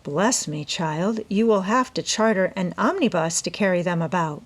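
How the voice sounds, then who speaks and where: A dignified mother from a classic storybook, voiced by a woman reader, practical and indulgent. She puts her questions in a measured, motherly way. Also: lowish voice, lively intonation, breathy edge. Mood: doubtful.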